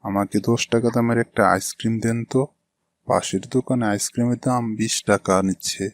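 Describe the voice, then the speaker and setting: A man's voice: mid-range voice, steady tone, fairly quick, no room sound, lightly acted. A man voicing a suspicious, grumbling customer in a cartoon. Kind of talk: cartoon dialogue.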